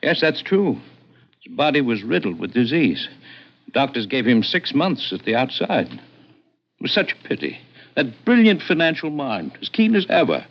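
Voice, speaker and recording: A man's voice: medium pitch, huge pitch swings, normal speed, creaky, heavily acted. An actor playing a nervous, earnest attorney in a radio drama, hesitant and formal in his speech. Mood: grave, earnest. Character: tall, thin, nervous, earnest male attorney client. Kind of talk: 1950s radio drama acting. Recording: muffled vintage radio recording.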